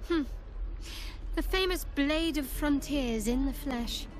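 Casting: guarded, wry young woman in a fantasy game, high register, coolly teasing. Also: slow pace, soft background music.

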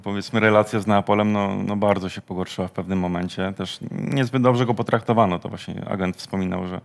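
A man's voice: on the low side, fairly even intonation, fairly quick, gravelly. A man talking football as a studio pundit, telling anecdotes in a hesitant way.

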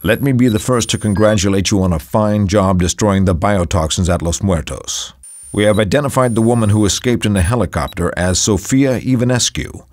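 A man playing a formal, authoritative spy agency briefer, reading a measured scripted mission briefing. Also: lowish voice, close to an everyday voice. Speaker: mission briefing narrator in a video game.

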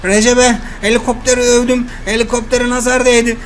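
A man's voice: extremely high pitch, little pitch movement, a little fast, slight background noise. A man voicing a boastful, scheming rich man in a toy cartoon. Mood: whining. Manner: boastful, whiny when upset.

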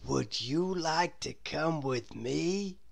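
Woman voicing a very slow, dreamy cloud creature. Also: low-pitched, lively intonation, vocal fry, full character voice. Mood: dreamy, inviting. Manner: drawn-out, unhurried, inviting. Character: friendly male cloud creature, dreamy and adventurous. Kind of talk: children's storybook character voice.

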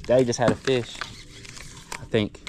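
A man, chatting casually and coaching his young son while bass fishing from a boat. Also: little pitch movement, moderate pace, a little mellow. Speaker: fishing channel host. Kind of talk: casual outdoor vlog talk. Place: outdoors on a bass boat on a river. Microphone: action camera on the boat. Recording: outdoor camera audio with clear wind and water noise.

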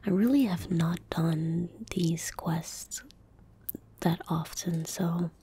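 Whispering young woman, very breathy. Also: on the low side, steady tone. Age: young adult. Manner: hushed, slow and gentle. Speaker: ASMR streamer playing a game. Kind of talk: soft-spoken ASMR gaming commentary. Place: indoor gaming room at home. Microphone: close desk microphone.

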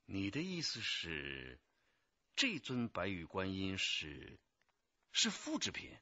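A man's voice: low-pitched, wildly swooping pitch, takes it slowly, bright, forceful tone, no room sound, muffled recording, full character voice. An actor playing an authoritative male chief detective who questions probingly in a radio drama. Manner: questioning, commanding. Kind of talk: Chinese radio drama acting.

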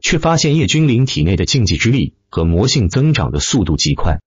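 Deep-voiced man narrating with sweeping intonation. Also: sing-song, brisk, slightly rough, no echo, muffled recording. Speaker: audiobook narrator. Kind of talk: dramatic scripted narration of a fantasy web novel.

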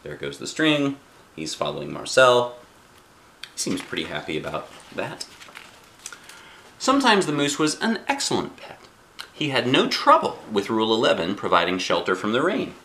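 A middle-aged man reading aloud to a child as a dad would, playful and expressive, with chatty asides and questions. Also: mid-range voice, normal speed, long pauses, slight background noise, rough recording. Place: small living room indoors. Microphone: camera a short distance away.

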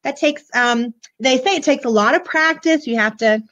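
A hoarse woman in her forties, chatting bubbly and gushing to viewers as she shows a children's book on a webcam. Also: high voice, moderate pace, a bit bright. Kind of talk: casual live book show-and-tell. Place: small room indoors, decorated backdrop. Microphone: webcam at desk. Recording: dull lo-fi webcam audio.

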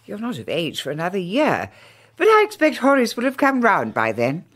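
An actress playing a kindly older woman, soft and confiding. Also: medium pitch, huge pitch swings, normal speed. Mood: gentle.

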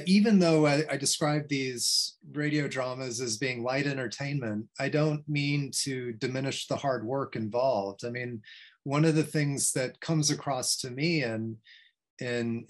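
A middle-aged man, an academic guest, speaks at medium pitch on a video call. He answers in a hesitant, roundabout way, often saying "you know" as he thinks. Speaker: guest speaker, history professor. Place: attic study with bookshelves, indoors. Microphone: video call computer mic.

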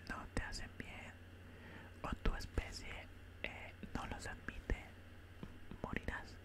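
A young man playing a calm, politely menacing alien doctor in a soothing whispered ASMR roleplay, close to the microphone. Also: natural voice. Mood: clinical, coolly menacing. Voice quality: soft, breathy unvoiced whisper. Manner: slow, soothing, matter-of-fact. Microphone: close studio microphone.

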